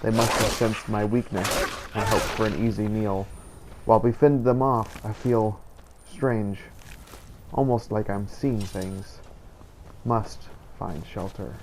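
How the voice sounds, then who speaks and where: A man narrating in character as a weary, wounded adventurer, solemn and halting, in a scripted journal style. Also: lowish voice, fairly even intonation, darkish tone. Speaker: gaming video creator narrating a role-play playthrough. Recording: clearly noisy background ambience.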